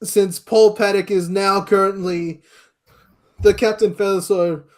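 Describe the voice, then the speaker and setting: A man speaking as an interview guest in a casual chat, with a halting, hesitant delivery. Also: sits high, fairly even intonation. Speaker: interview guest, amateur voice actor.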